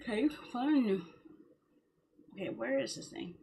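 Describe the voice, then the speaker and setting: A woman's voice: on the high side, unhurried, gravelly, slightly rough. A woman chatting casually to her viewers on a livestream, rambling in a mildly complaining, self-justifying way. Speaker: livestreamer, game streamer. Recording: steady background noise.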